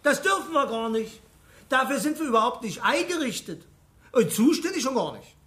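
Grumpy dismissive village police boss, radio play, highish voice that jumps all over. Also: male voice, big pitch swings, normal speed, pushed and bright, heavily acted. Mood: irritated, commanding. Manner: blustering, grumbling, dismissive. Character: gruff, dismissive male village police chief. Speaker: actor in a radio drama. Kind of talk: radio drama acting.

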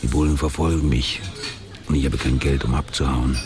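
Bitter crook with a very deep voice. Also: male voice, steady tone, a little fast, heavy background noise. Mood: anxious, bitter. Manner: coarse, cynical. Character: bitter, foul-mouthed, ailing small-time crook hunted by police. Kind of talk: first-person narration in a crime radio play.

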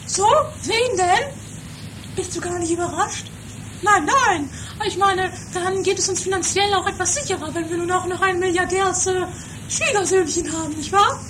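A woman playing a haughty, money-minded, melodramatic rich mother in an improvised trash comedy radio play, theatrical and halting. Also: very high-pitched. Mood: haughty, indignant. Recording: noisy lo-fi 1980s amateur radio recording.

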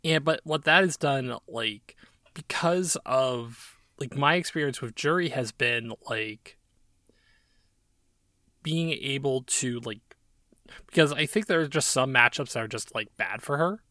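A man's voice: mid-range voice, unhurried, bright, forceful tone, dry recording, studio quality. A man co-hosting a gaming podcast, talking in a rambling, enthusiastic way with lots of fillers. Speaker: podcast co-host. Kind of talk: casual gaming podcast chat.

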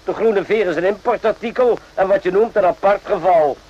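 Radio drama: cynical, gruff sergeant, scornful and slangy, slightly high pitch, dull lo-fi sound. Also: male voice, fairly quick. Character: gruff, cynical, sarcastic male army sergeant, old hand. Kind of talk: radio play acting. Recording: dull lo-fi old radio play.

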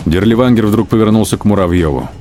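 A man narrating a literary radio drama in a measured, grave storytelling manner. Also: lowish voice, dark tone, lightly acted. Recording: music bed behind the voice.

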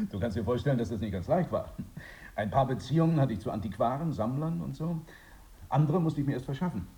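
An actor playing a self-satisfied, eager husband in a radio play, hosting a guest in a jovial, boastful way. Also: male voice, mid-range voice, lively intonation, fairly quick, mellow, natural voice. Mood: pleased, boastful.

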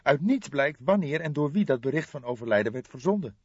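Audiobook role: male reader as hesitant, distraught young man begging for help. High, swooping, emotional, trembling delivery. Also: expressive, fairly quick, breathy edge, dry recording, lo-fi sound. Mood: troubled. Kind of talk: audiobook character voice.

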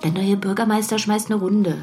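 An actress playing an insistent best friend around forty, coaxing in a hushed way in an audio drama. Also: female voice, medium pitch, steady tone, normal speed, faint music behind, close to an everyday voice. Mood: coaxing, pleading. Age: middle-aged. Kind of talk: intimate acted dialogue in an audio drama.

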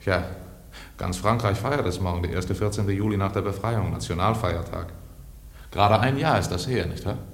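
An actor in a radio play playing a calm, helpful traveller who takes charge in a measured, matter-of-fact way. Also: male voice, low-pitched, slight background noise.

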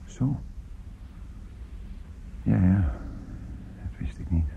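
Slow, creaky-voiced old father. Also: fairly low pitch, animated, in a whisper, exaggerated voice. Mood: absent, weary. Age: older. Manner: halting, repetitive, murmuring. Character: weary, absent-minded old father, kindly and distracted. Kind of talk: radio drama acting. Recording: muffled, noisy old radio play recording.

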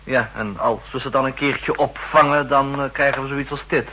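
An actor playing an eager, earnest young intelligence officer with some stubbornness, in the style of a 1960s radio drama. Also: male voice, medium pitch, fairly even intonation, normal speed, sharp tone, husky, lo-fi sound. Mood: frustrated, reporting. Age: young adult.

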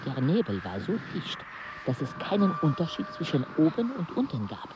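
An actor playing a weary, anxious mountain traveller in a radio play, speaking and narrating hesitantly. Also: male voice, on the high side, huge pitch swings. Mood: grave, uneasy. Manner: slow, hesitant, deliberate delivery. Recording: noisy, slightly muffled outdoor soundscape.

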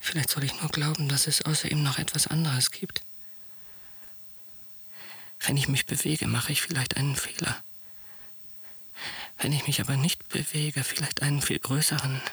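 An actor in a radio drama playing a frightened, self-doubting patient who thinks aloud in a breathy, halting whisper. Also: medium pitch, level tone, fairly bright tone, no echo. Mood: anxious brooding. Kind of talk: radio drama interior monologue.

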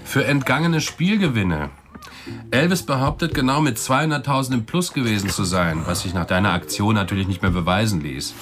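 An actor playing a chatty, cynical debt collector in a German radio drama, casual and wry, with chummy menace. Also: male voice, lowish voice, brisk, fairly bright tone, close to an everyday voice. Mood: matter-of-fact. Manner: casual, wry, matter-of-fact about threats.

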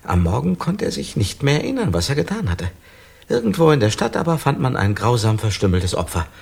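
An actor in a German radio crime drama playing an anguished, formal amnesiac man who speaks haltingly, with a husky, gravelly voice. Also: mid-range voice, very animated, heavily acted. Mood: troubled. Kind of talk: German radio play acting.

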